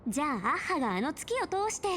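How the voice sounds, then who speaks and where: A woman's voice: sits high, very animated, racing, slight background noise. A woman voicing a cute, curious, playful young girl in an anime-style video game, chirpy and casual. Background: loud game music.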